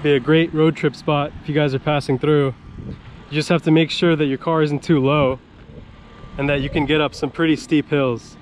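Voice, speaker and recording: A higher-pitched man chats eagerly to a handheld action camera outside, walking viewers through each detail of his car. There's a faint hum of outdoor noise behind him, and his delivery is casual and upbeat. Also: flat delivery, normal speed. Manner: enthusiastic, chatty, shows off car details. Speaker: car vlogger. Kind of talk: casual vlog narration. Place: outdoors at a shop lot and a mountain overlook. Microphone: action camera held or mounted.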